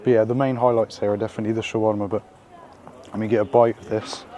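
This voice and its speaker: A man hosting a casual food vlog while out in the city, his voice croaky from being ill, chatty and upbeat with lots of slang and fillers. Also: lowish voice, flat delivery, fast, noisy background. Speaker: food vlogger. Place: out and about in city streets and a small eatery.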